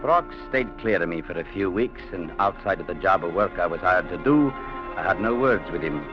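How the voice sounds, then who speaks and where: An actor playing a firm, plainspoken young clerk who also narrates, in 1940s radio drama style on an old recording. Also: male voice, sits low, lively intonation, normal speed, highs cut off, music in the background. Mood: narrating. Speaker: narrator and lead.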